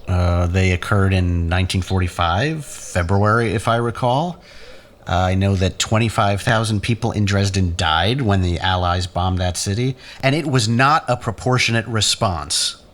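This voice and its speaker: Actor as pointed, sardonic man, lowish voice. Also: moderate pace, a bit bright. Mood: accusing. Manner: pointed, questioning, wry. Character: moral, sardonic, challenging man. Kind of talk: audio drama acting.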